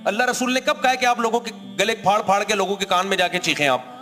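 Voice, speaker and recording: A man's voice: sits high, quick pace, sharp tone, big-room sound. A male cleric speaking to an audience, conversational and argumentative, recounting his own exchanges. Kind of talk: speech to an audience.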